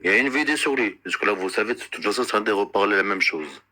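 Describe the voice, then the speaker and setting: Insistent man with a very bright voice. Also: on the low side, animated. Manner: insistent, repetitive; halting, searching for words. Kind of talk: unscripted accusatory complaint in a conversation.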